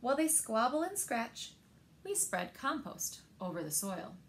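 A woman reads a children's picture book aloud in a slightly high voice. Her delivery is very slow and gentle, with careful phrasing. There is a little room noise behind her. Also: very animated. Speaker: library storyteller. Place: indoors, small living room by windows.

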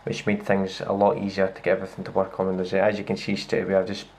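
A Scottish man talks through a laptop demo in a low voice. His speech is flat and gravelly, and he stops often for fillers and restarts. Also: very fast, slight background noise. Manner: relaxed, with many fillers and restarts. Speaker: tech reviewer. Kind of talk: casual hands-on demo. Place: indoors at a wooden table. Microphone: handheld camera filming a laptop screen.

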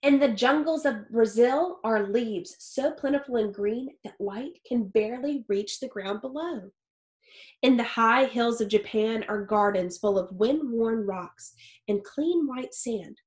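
A woman in her thirties reading a picture book aloud like a teacher, expressively and with deliberate phrasing for young listeners. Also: on the high side, darkish tone. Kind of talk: expressive children's read-aloud. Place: small home office or study with wooden shelves. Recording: roomy, echoey indoor sound.

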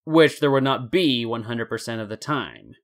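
A man narrating a scripted story in a deliberate, dramatic storytelling manner. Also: medium pitch. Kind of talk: scripted fan fiction narration.

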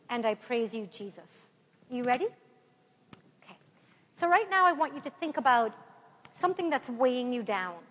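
An Irish woman in her forties addresses a congregation in a large church, her slightly high voice carrying with a long reverb. She is recorded from a camera out in the pews. She speaks in a lively, friendly way, then slows down to read a prayer. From Ireland. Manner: lively, warm address to a mixed-age congregation, then slow measured prayer reading. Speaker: retreat speaker. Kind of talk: parish talk and led prayer. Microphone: far camera in the pews.

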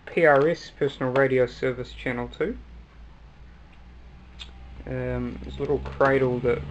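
A young New Zealand man talks through a casual hobby demonstration at a medium pitch. His delivery is halting and hesitant, broken by pauses. It sounds like an amateur recording made in a room at home, with faint noise behind the voice. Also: low-quality recording. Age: young adult. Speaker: hobbyist video maker. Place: small cluttered room at home, indoors.

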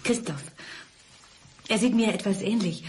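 A woman's voice: medium pitch, lively intonation, unhurried, a little mellow, slight background noise, close to an everyday voice. An actress in a German radio crime drama playing a reserved, evasive remarried mother, speaking in a restrained way.

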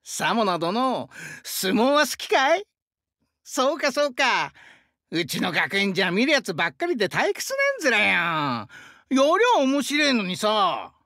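A male actor voicing a burly, friendly young sumo-wrestler god in an anime game, with rough, hearty speech and a rural Shinshu Japanese dialect. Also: high voice, wildly swooping pitch, measured pace, pushed and bright, no echo, studio quality, full character voice. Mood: eager, friendly. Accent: rural central Japanese dialect (Shinshu). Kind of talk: anime game character voice lines.

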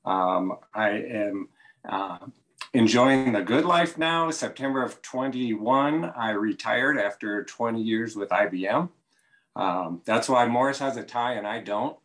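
A man in his fifties, recently retired, introducing himself on a video call in a relaxed way, with many uhs. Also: mid-range voice, a little slow. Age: 50s. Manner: relaxed, filler-heavy listing of career. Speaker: panelist, retiree. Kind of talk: casual self-introduction.

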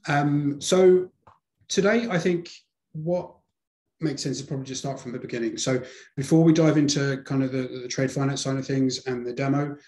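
A man's voice: medium pitch, moderate pace, darkish tone, echoey room. A man with a London accent giving a webinar presentation over a video call, hesitant with many fillers. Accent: London English. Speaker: sales presenter.

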